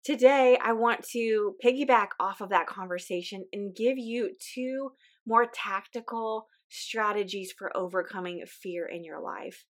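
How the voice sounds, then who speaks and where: Brief: woman host, voice weak from illness, earnest and encouraging, middle pitch. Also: unhurried. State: weak, recovering voice. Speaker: podcast host. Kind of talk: casual podcast monologue.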